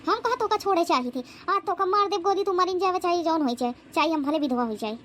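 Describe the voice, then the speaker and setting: A woman voicing a sharp-tongued, angry old village wife in a comedy cartoon, scolding and taunting with an Awadhi accent. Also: very high voice, animated, fast, slight background noise. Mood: scornful. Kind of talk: rustic comedy cartoon acting.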